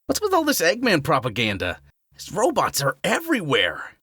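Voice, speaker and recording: A brash young male hedgehog hero voiced by a woman in an audio drama. The pitch is low and swings wildly with playful, slangy cockiness. The recording is close and dry. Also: huge pitch swings, normal speed, fairly bright tone, husky, dry recording, exaggerated voice. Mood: excited. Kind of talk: fan audio drama acting.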